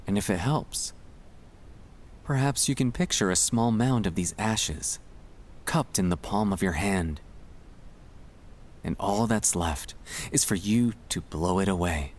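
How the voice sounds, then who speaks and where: A man speaking in a gentle, soothing, reassuring way, delivering a scripted ASMR guided relaxation to help the listener sleep. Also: fairly low pitch, unhurried, long pauses, a little hiss or hum.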